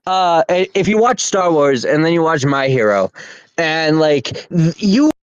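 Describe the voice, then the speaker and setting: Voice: medium pitch, animated, measured pace, clear, resonant tone, no room sound, dull audio. A speaker in casual podcast banter, rambling, repeating phrases and swearing freely. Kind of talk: casual chat, podcast banter about pop culture.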